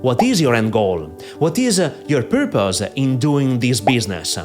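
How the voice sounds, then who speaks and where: A middle-aged man with a strong Italian accent talks straight to camera about online marketing, his pitch rising and falling a lot and jumping up on key points. He fills pauses with frequent "uh"s, and soft background music runs under his voice on a podcaster mic. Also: big pitch swings. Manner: frequent "uh" fillers, emphatic lifts on key phrases. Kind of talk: direct-to-camera advice talk. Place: in front of a green screen. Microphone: podcaster microphone.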